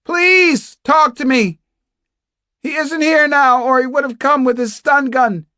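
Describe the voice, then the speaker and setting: A woman voicing a defiant captive young woman doctor in an audiobook, shouting insistently and pleading in a strong, strained voice. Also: sits high, takes it slowly, no echo, clean studio sound, pitched above the performer's own voice, full character voice. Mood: urgent pleading. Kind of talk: dramatized audiobook dialogue.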